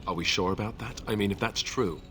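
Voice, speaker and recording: An actor playing a wry, earnest, boyish warrior companion in a fantasy video game, with an informal way of talking. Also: male voice, lowish voice, a little fast, sharp tone, faint music behind. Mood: wry, doubtful.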